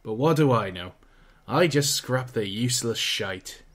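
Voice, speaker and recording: Man voicing a gruff scrapyard foreman, offhand and blunt, plain voice. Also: medium pitch, expressive, a little slow, a bit bright. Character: blunt working-class male scrapyard foreman.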